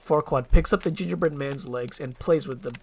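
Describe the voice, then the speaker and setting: A woman's voice: low voice, brisk, a little mellow, husky. A woman reading scripted screenplay narration and stage directions as a narrator.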